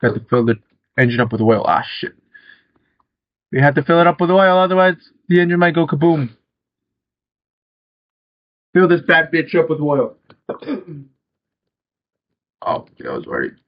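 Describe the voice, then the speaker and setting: Young guy chatting over his gameplay, kinda high voice, rambly, swears a lot, dry dull mic. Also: normal speed, pauses often, dry recording. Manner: relaxed, rambling, swears casually. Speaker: gaming channel host. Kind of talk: casual gameplay commentary.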